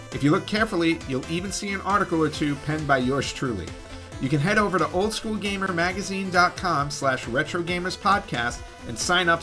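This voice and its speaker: Casual man hosting a podcast. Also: a little high. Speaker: podcast host. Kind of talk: casual chat, countdown commentary.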